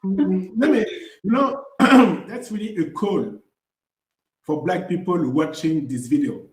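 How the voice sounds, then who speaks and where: A man's voice: high voice, expressive, unhurried, a little mellow, echoey room, a little hiss or hum, low-quality recording. A man co-hosting a podcast, talking casually with frequent "you know" fillers and restarts. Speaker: podcast co-host.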